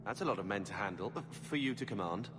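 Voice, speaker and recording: A man's voice: fairly low pitch, animated, quick pace, background noise, natural voice. An actor playing an eager, bantering man who flirts clumsily in a video game. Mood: flirtatious. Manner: fumbling, bantering. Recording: clear background music.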